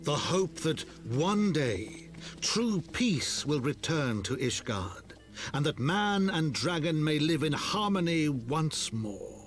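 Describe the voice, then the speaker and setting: An actor voicing a grand, solemn chronicler of heroic legend in scripted video game narration. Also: male voice, on the high side, sing-song, slow pace. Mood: solemn, hopeful. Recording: music under the voice.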